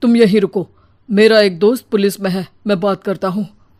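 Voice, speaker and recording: A woman's voice: medium pitch, steady tone, moderate pace, darkish tone, natural voice. A woman voicing a caring, responsible elder brother in a cartoon moral story, speaking earnestly. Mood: concerned.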